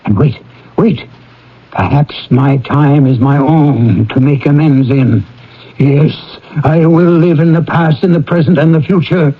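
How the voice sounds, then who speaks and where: An actor playing a reformed old miser in a theatrical radio drama, with an emotional, exclamatory delivery. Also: male voice, fairly high pitch, unhurried, slightly rough. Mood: grateful relief. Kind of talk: theatrical radio drama acting with first-person narration. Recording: muffled old radio broadcast with music. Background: music.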